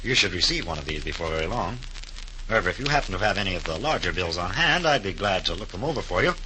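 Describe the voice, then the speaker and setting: An actor playing a folksy but official investigator who talks with hesitant pauses in a 1930s radio drama. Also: male voice, low-pitched, very animated, pushed and bright, heavily acted, rough recording. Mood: measured, official. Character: smooth-talking, self-assured male government investigator. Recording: 1930s radio recording with faint noise.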